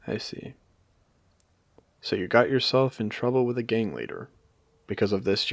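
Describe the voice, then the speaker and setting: Casting: male actor as world-weary AI casino owner for audio drama, genteel and theatrical, low voice with swooping, expressive intonation. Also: creaky, no echo, heavily acted. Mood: probing, polite. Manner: measured, genteel, theatrical, with pointed pauses. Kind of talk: intimate scripted audio roleplay addressed to the listener.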